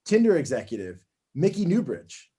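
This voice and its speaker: Man playing a lively, dismissive TV boss. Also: fairly high pitch, moderate pace, slightly rough, exaggerated voice. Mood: blunt, dismissive. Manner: blunt, breezily condescending. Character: crude, dismissive male TV network boss, glib and bossy. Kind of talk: comedy table read.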